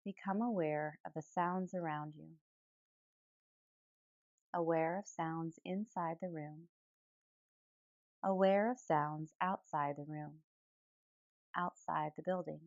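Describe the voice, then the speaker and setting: Calm lady doing guided relaxation, gentle n unhurried, very dry close sound, bit muffled. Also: low-pitched, dry recording. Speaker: yoga nidra guide. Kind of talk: guided relaxation meditation, soothing instruction.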